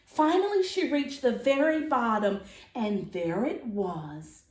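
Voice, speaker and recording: Woman, high voice, unhurried pace, expressive storybook read-aloud, roomy echo. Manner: dramatic, expressive, with gasps and asides to listeners. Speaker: teacher reading a children's storybook aloud. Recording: roomy, echoey sound.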